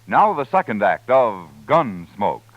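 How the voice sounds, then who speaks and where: A man reading a crisp, formal scripted radio announcement. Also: fairly low pitch, sing-song, unhurried, no echo, soft background music. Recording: dry, lo-fi old radio broadcast.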